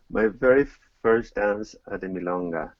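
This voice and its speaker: A middle-aged Argentine man answers interview questions in a low voice, pausing often and restarting his sentences. His audio sounds dry and slightly dull, like a lo-fi remote connection. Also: gravelly, no room sound. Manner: hesitant, many fillers and restarts. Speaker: tango teacher, guest.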